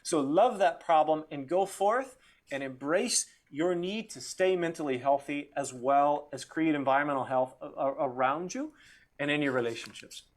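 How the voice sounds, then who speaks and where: A man with a fairly high voice delivers a formal, reflective address. He sounds earnest and measured, placing clear emphasis on key phrases as a guest speaker would. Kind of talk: formal closing speech, quoting and reflecting.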